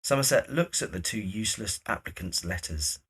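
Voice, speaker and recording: Man narrating a drama, slightly husky. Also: fairly low pitch, animated, fairly quick, a bit bright. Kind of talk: scripted narration of stage directions.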